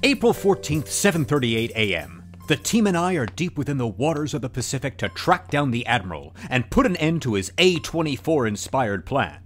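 A man's voice: mid-range voice, sing-song, moderate pace, hardly any pauses, soft background music, lightly acted. A man playing a self-important, jovial agent narrating his own documentary in an audio drama, grandiose and playful.

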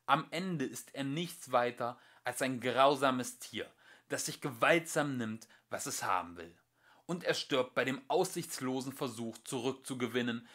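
Young man, scripted storybook narration, medium-pitched voice, animated intonation, emphatic phrasing. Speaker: amateur audiobook reader. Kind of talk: scripted audiobook narration of a fairy-tale commentary.

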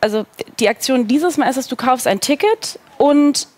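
A woman's voice: high voice, lively intonation, moderate pace, a little mellow. A woman in her thirties speaking as a talk show guest, informal and casual, dropping in English phrases. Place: indoor talk studio with seated group. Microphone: headset.

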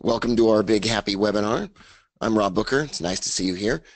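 A man with a raspy voice hosting a casual trading webinar, upbeat as he talks through his charts. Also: on the low side, a little fast, no echo. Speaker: webinar host. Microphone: studio mic, screen share.

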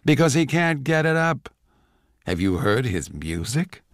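A man's voice: mid-range voice, very animated, measured pace, no echo, studio quality, soft background music, voice pushed up. A man voicing an arrogant, childish, foul-mouthed young composer in a dramatic audiobook reading. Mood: crude, boastful.

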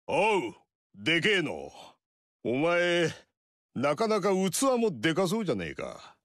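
A man voicing a gruff veteran warlord with a rough, teasing, drawling way of talking in video game dialogue. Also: on the high side, wildly swooping pitch, unhurried, a bit bright, no echo, clean studio sound, full character voice. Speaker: warlord character.

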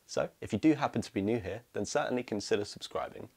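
Man, twenties, low voice, tutorial host, explanatory step-by-step delivery. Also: quick pace, darkish tone, husky. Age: 20s. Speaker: music production tutorial host. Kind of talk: instructional screencast tutorial, explanatory.

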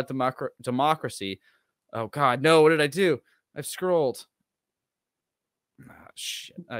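A man co-hosting a casual political livestream, reading an article aloud with halting, sardonic asides. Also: medium pitch, fairly bright tone, no room sound, clean studio sound. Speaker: show co-host reading and commenting on an article. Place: indoor room at home, livestream video call.

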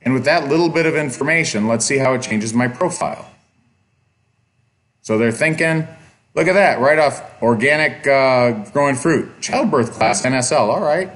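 A man's voice: medium pitch, lively intonation, normal speed, fairly bright tone. A man giving a recorded college lecture, talking casually and thinking aloud as he clicks through examples on screen.

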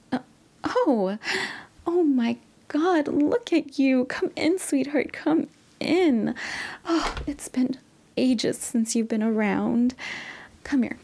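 Breathy, doting mom, played by a woman. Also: mid-range voice, full character voice. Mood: delighted, welcoming. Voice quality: breathy, often near a whisper. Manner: unhurried, affectionate, hesitant, with soft sighs and chuckles. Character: doting middle-aged mother, sweet and nostalgic. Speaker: audio roleplay performer. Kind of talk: intimate ASMR-style roleplay monologue.

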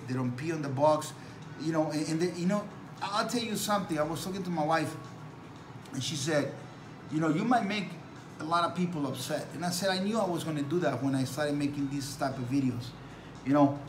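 Earnest non-native man preaching to camera. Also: a little high. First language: not English. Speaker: dog breeder. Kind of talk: talking to camera. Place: small room indoors. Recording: echoey room with background noise.

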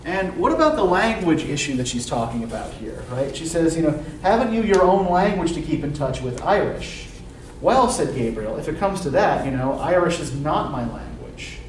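A man's voice: a little high, big pitch swings, normal speed. Male lecturer in an echoey classroom. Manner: questioning, often checks with "right?". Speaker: university literature lecturer. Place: classroom, indoors. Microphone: distant camera microphone, speaking through face mask. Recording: roomy classroom from far off, faint noise.

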